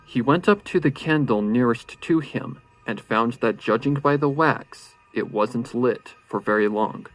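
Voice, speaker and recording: A man's voice: medium pitch, unhurried, hardly any pauses, low-quality recording. A man narrating a horror story in a suspenseful, theatrical way. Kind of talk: dramatic horror fan-fiction narration. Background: faint music.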